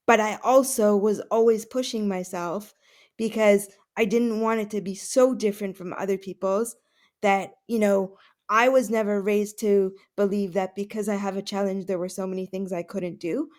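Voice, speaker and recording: A woman's voice: medium pitch, darkish tone. Woman, first language Russian, interview guest, earnest, many fillers and restarts. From Canada. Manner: earnest, with frequent fillers and self-corrections. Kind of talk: podcast interview answers.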